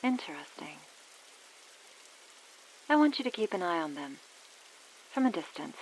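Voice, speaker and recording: Woman acting a creaky, scheming female leader. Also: lowish voice, very animated, a little hiss or hum, poor audio. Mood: cool, calculating. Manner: measured, calculating. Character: cool, scheming female leader giving covert orders. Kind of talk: ASMR audio roleplay.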